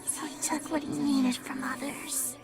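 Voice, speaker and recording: A woman's voice: sits high, steady tone, a little slow, vocal fry, soft background music, full character voice. A woman voicing a bitter, menacing undead filly monster with a quivering, dramatic delivery in an audio story.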